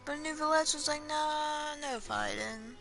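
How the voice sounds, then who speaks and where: Slow-paced, high-voiced woman gaming casually. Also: creaky, fairly bright tone. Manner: slangy, chatty, reacting to the game. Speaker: game streamer. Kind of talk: casual gameplay commentary. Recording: game music behind.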